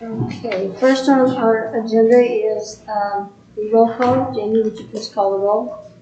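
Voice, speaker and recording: Brief: female mayor running a board meeting, mellow and flat, echoey room, dull lo-fi recording. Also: on the high side, a little slow. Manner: steady, procedural, reads agenda items. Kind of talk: formal meeting procedure.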